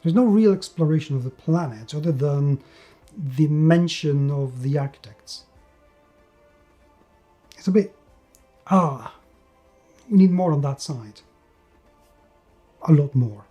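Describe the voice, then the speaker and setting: A man with a fairly high yet very mellow, muted voice talks through an unscripted game review. He is enthusiastic and emphatic, often doubling words for stress. Faint music plays behind him. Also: lively intonation, slow pace, long pauses.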